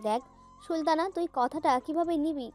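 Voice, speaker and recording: Protective household matriarch, acted by a woman, high voice, scolding and pointed, audio drama over music. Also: normal speed, a little mellow, close to an everyday voice. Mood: cutting. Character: protective, sharp-tongued aunt of a wealthy household. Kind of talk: Bengali audio story acting. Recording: music bed under voice.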